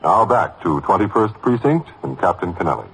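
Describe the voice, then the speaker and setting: Male program announcer, American, very low voice, raspy, animated, vintage 1950s radio delivery. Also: moderate pace, low-quality recording.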